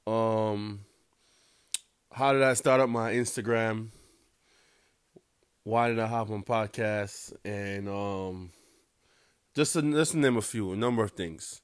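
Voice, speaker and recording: A man's voice: fairly low pitch, steady tone, takes it slowly, pauses often, clean tone, no echo, studio quality. A young man from Jamaica with a Jamaican accent, hosting a casual solo podcast with rambling, profane talk full of "um"s. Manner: rambling, profane, laughs often, many "um"s. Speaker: podcast host.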